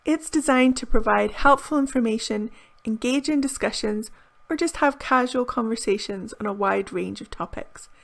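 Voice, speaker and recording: A woman teaching to camera with a bright, upbeat delivery, every word clearly enunciated. Her voice sits a little high and has a gravelly fry at the ends of phrases. She sounds close to the mic in a small indoor room. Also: moderate pace. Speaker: English teacher. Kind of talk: scripted video lesson.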